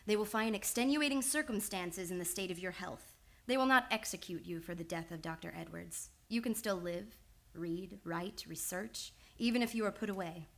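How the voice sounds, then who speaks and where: A woman's voice: mid-range voice, close to an everyday voice. Woman playing a composed, shrewd female analyst. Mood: steely composure. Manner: measured, assured, matter-of-fact. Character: composed, shrewd young female psychoanalyst confronting a killer. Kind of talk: old-time radio drama acting.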